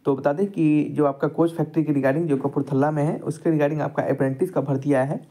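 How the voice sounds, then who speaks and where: A man's voice: a little high, fairly even intonation, brisk, mellow. A man tutoring students over a screen-recorded lesson, explaining step by step and addressing them directly. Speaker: exam tutor.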